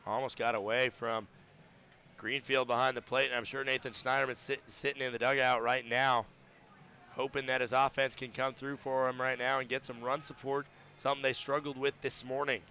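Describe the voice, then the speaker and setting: A young man calling baseball play-by-play on radio from a ballpark booth, getting loud and excited on big plays. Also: medium pitch, moderate pace. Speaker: play-by-play announcer.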